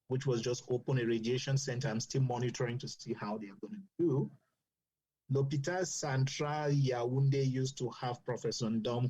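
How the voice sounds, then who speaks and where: A man's voice: mid-range voice, warm tone, low-quality recording. A man from Cameroon, a doctor speaking earnestly as a podcast guest, often restarting his sentences. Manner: earnest, advocating, with frequent restarts. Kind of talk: earnest explanatory podcast talk.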